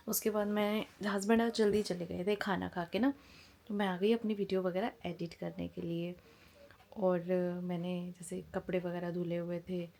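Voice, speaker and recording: A woman's voice: on the low side, a little mellow, slight background noise. A woman in her forties from India, chatting frankly and casually to camera at home as a family vlogger. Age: 40s. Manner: frank, chatty, talks plainly and openly.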